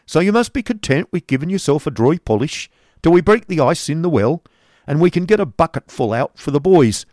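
A man voicing a bullying, greedy male schoolmaster who barks orders loudly, in an audiobook reading. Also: a little high, big pitch swings, brisk, no echo, studio quality, pitched above the performer's own voice. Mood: bossy. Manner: loud, hectoring, barking orders. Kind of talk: audiobook character voice.

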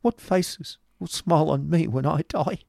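A timid, broken-spirited storybook youth, speaks in a high, strained voice. He stammers and pleads in anguish, sounding like a frightened drudge. The sound is close and dry. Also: slow pace, creaky, warm tone, dry recording. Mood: terrified, hopeless.

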